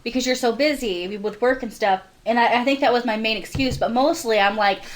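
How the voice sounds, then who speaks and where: A woman co-hosting a podcast in a rambling, giggly casual chat, her voice stuffy and a little rough from a cold. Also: fairly high pitch, a little fast. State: stuffy nose, sore throat from a cold. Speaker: podcast co-host.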